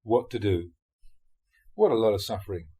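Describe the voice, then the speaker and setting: A man reading Buddhist teaching stories aloud in the animated manner of a storyteller. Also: fairly low pitch, normal speed. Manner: animated storyteller, acts out dialogue, often chuckles. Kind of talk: audiobook reading of dharma talk storytelling.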